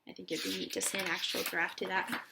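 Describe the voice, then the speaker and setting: A woman who is a teacher reads a picture book aloud in an expressive, animated way for young children. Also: lowish voice, fast talker, creaky, noisy background.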